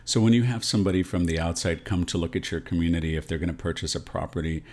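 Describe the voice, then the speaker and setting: A man's voice: low-pitched, fast talker, darkish tone. An American man presenting advice as a realtor and video host, explaining things directly and often checking in with the listener. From San Antonio, Texas, USA.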